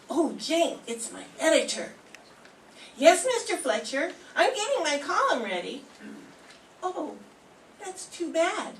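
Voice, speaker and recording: An older woman reading the part of a naive, scatterbrained young woman in a radio sitcom, slow and literal-minded, recorded from far off in a roomy classroom. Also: very high-pitched, a bit bright, a little hiss or hum, heavily acted. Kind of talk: 1940s radio sitcom acting.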